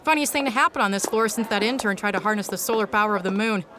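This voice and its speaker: A woman's voice: mid-range voice, fast, background noise, natural voice. An actress playing a breezy, joking woman scientist in an audio drama, informal and teasing in a folksy way. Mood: amused, teasing.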